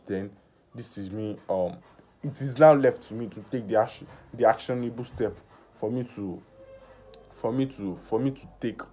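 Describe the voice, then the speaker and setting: A nineteen-year-old man talking through a personal vlog in earnest self-talk, halting with many fillers and restarts. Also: fairly low pitch, warm tone, a little hiss or hum. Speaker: vlogger.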